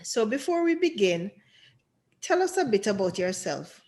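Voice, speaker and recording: A woman's voice: mid-range voice, sing-song, darkish tone. A woman hosting a podcast, reading a scripted intro and then welcoming and questioning her guest. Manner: slow, deliberate reading, enthusiastic welcomes. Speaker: podcast host.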